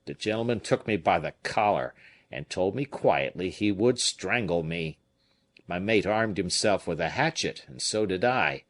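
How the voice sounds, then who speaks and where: A man voicing an excitable Provençal fisherman with a Provençal accent, telling his tale loudly and emphatically. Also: lowish voice. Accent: Provençal French, as acted. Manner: loud, emphatic, indignant storytelling.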